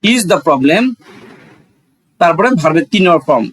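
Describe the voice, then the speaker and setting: A man's voice: a little high, expressive, moderate pace, a bit bright, breathy edge, no room sound. A man with a Bangladeshi accent teaching in an explanatory, didactic way, asking rhetorical questions and repeating key phrases.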